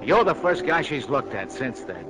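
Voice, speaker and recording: A man's voice: mid-range voice, sing-song, normal speed, lightly acted. An actor playing a blunt, worldly but kindly showbiz man with slangy talk in a 1940s radio drama. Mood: frank, consoling.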